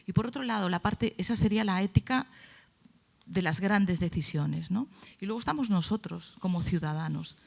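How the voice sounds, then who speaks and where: Female, Castilian Spanish from Spain, mid-pitched, fry in the voice, emphatic, opinionated panel talk. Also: normal speed, a little mellow. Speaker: panelist at a congress.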